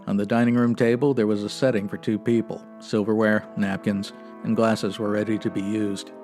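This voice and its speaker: A man's voice: on the low side, flat delivery, normal speed, a little mellow, soft background music, lightly acted. A man playing a stoic, no-nonsense 1950s police sergeant, narrating in clipped, matter-of-fact radio detective style. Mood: flat, factual. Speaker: actor in a comedy audio drama.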